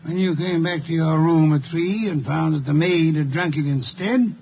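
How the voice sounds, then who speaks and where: An actor playing a brilliant, pompous, unflappable detective in a 1950s radio drama, with precise, formal diction. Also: male voice, a little high, fairly even intonation, normal speed, slightly rough. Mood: accusing, composed. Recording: dull, noisy old radio recording.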